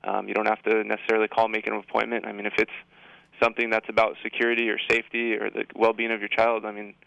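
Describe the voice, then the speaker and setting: A man's voice: on the low side, completely flat delivery, quick pace, creaky, sharp tone, no echo, dull audio. A man giving informal, explanatory answers as a school administrator in a radio interview. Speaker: high school assistant principal, guest.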